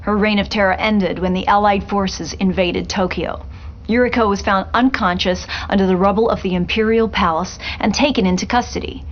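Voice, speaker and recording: A woman's voice: medium pitch, steady tone, a little hiss or hum, close to an everyday voice. Woman acting a dramatic TV news reporter, slightly muffled. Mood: grave, ominous. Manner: measured, dramatic, articulate. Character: polished female television news reporter, grave and intriguing. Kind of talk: scripted TV news special report in a game.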